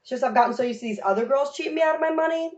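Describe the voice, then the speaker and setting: An actress playing a bold sixteen-year-old big sister and hustler in an audio play, brash and commanding. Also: female voice, sits high, very fast, a little mellow, muffled recording, close to an everyday voice. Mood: proud, teasing.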